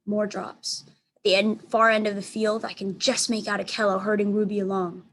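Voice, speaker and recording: A woman teacher reading a children's storybook aloud in expressive narration. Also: mid-range voice, normal speed. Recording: clear background noise.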